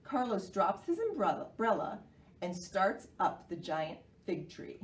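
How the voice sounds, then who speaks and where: An American woman presenting a children's storytime in an animated way, explaining simply and asking young children questions. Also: mid-range voice, a little slow. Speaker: children's library storytime host. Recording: roomy, distant microphone, faint noise.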